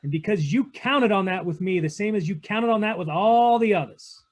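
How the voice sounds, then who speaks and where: A man's voice: sits high, expressive, normal speed. A man playing a cynical, unyielding private detective in a 1940s-style radio drama, with a clipped, tough-talking delivery. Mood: bitter, resolute. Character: hard-boiled male private detective, cynical, unyielding to his lover. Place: online video-call read-through.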